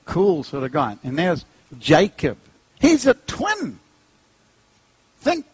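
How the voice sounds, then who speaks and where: Man, New Zealand accent, middle-aged preacher, husky, jokey and animated, dry close sound. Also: no echo. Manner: jokey, acts out characters, pitch leaps high. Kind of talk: animated sermon with humor.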